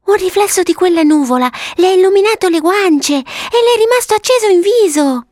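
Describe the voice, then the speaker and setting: A woman voicing a sweet, eager, bossy little girl in a storybook, earnest and excitable as she gives instructions. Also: high voice, normal speed, dry recording, studio quality, voice pushed up. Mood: excited. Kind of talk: storybook character voice.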